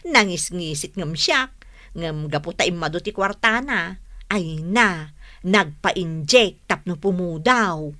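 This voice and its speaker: A woman playing a thrifty, cheerful younger married woman in an Ilocano radio drama, talking in an expressive, frank, teasing way. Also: on the low side, slow pace, full character voice. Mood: gossipy, amused.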